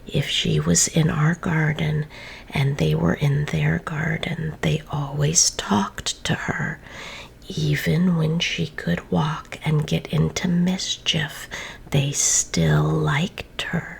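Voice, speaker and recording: A woman reading a children's story aloud in a soft, gentle whisper. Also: sits low, fairly even intonation, slow, creaky.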